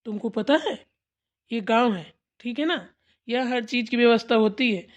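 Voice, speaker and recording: A woman's voice: fairly high pitch, moderate pace, natural voice. A woman voicing a nagging, grumpy young husband in a family cartoon, bickering in a casual, curt way. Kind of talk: amateur Hindi family cartoon dialogue.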